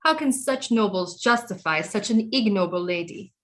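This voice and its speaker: Woman acting as queen mother, regal, formal, proud, brooding, resentful, mid-pitched, dramatic audio play. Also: lively intonation, normal speed, fairly bright tone, close to an everyday voice. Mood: brooding, unsure.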